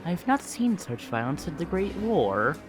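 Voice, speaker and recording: A performer voicing an earnest talking steam engine in an amateur dramatic reading, with expressive delivery. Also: mid-range voice, unhurried, music in the background. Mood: puzzled.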